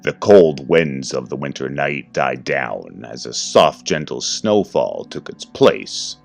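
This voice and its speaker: Male narrator, low-pitched, scripted horror fiction, faint music underneath. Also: lively intonation, slow, gravelly.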